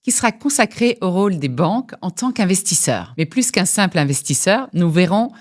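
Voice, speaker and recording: A woman hosts a podcast interview, introducing her guests and putting questions to them in a courteous, formal manner. Her voice sits a little low. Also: sing-song, fairly quick. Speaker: podcast host.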